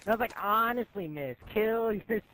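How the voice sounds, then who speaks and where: High-voiced teenage boy telling a story. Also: fairly quick, a little hiss or hum, poor audio. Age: teenager, high school student. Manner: animated, acts out both sides of conversations, slangy. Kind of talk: casual anecdote telling among friends.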